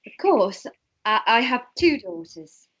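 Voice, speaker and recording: A woman's voice: a little high, big pitch swings, takes it slowly, a bit bright, breathy edge, full character voice. A woman playing a gracious noble hostess, polite and earnest, in an amateur script table read. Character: gracious, slightly anxious noble lady and hostess.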